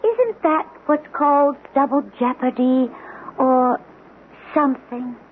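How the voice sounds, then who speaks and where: A woman's voice: high voice, lively intonation, takes it slowly, noisy background. An actress playing an eerie, insistent, taunting woman in an old-time radio drama. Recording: thin telephone-like old radio, crowd behind.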